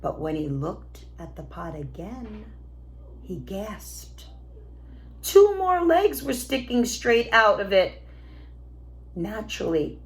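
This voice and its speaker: A woman narrates a children's storybook like a teacher reading to pupils. Her delivery is expressive and slow, with lots of rise and fall in pitch.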